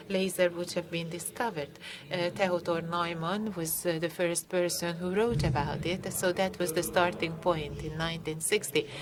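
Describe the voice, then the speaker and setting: A woman giving a live interpretation of a conference lecture, speaking haltingly with frequent filled pauses. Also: fairly low pitch, noisy background.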